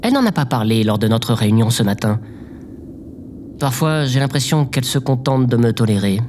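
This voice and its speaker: Male actor for insecure audio-drama podcaster; self-effacing, theatrical, very lively intonation, medium register. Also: medium pitch, a little fast, a little hiss or hum, natural voice. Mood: wistful. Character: earnest, insecure, lovelorn amateur podcaster.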